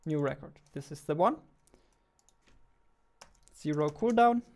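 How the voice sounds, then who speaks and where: A man's voice: fairly high pitch, lively intonation, slow. A man in his twenties giving casual commentary as he thinks aloud while working as a game developer. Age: 20s. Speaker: game developer, video host. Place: small indoor room at a computer.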